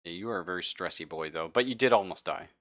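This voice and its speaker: A man's voice: low voice, fast, a bit bright. A man casually wrapping up a tabletop game session as game master, slangy and playful.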